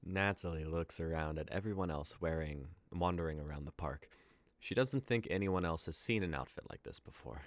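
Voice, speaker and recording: A man's voice: a bass voice, moderate pace, no room sound. A young man reading scripted story narration and stage directions as the narrator of a dramatic reading. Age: young adult.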